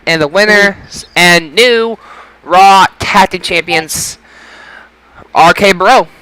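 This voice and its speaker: A man's voice: high-pitched, slow, sharp tone, clear, resonant tone, dry recording, poor audio. A man hosting a casual podcast about wrestling results, speaking emphatically and often repeating and stumbling over phrases. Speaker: podcast host.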